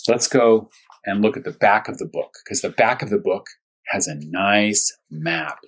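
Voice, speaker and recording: A man speaking as a first grade teacher at storytime, talking to young children in simple, short, repeated phrases, with a husky voice. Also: on the low side, expressive, unhurried, fairly bright tone. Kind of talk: storytime read-aloud and discussion for young children.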